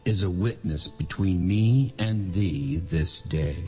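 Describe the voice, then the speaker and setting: An actor playing a stern, possessive patriarch in a dramatized scripture reading, solemn and declamatory. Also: male voice, low voice, slow, mellow, faint music behind. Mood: solemn warning. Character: stern, possessive patriarch, a father-in-law accusing and warning.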